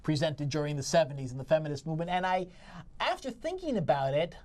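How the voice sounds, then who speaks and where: A woman co-hosting a casual theatre review, talking in a halting way and circling back to her points. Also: sits low, lively intonation, a little mellow. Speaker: theatre critic, co-host.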